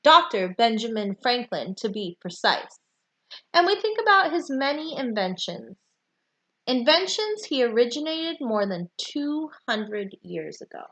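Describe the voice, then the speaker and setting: A woman reading a children's nonfiction book aloud with deliberate, emphatic phrasing for young listeners. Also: fairly high pitch, very animated, fairly bright tone, low-quality recording. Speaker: read-aloud storyteller.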